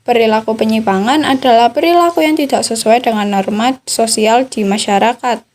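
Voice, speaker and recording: A woman's voice: a little high, normal speed, a little mellow. A young woman with an Indonesian accent, delivering scripted study material as a student podcast host.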